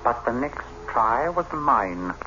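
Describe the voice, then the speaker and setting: A man's voice: medium pitch, big pitch swings, takes it slowly, music in the background. An actor playing a bitter, paranoid husband with murder on his mind, theatrical and sardonic, in an old-time radio horror drama. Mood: brooding, sinister. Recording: muffled, very noisy vintage radio recording.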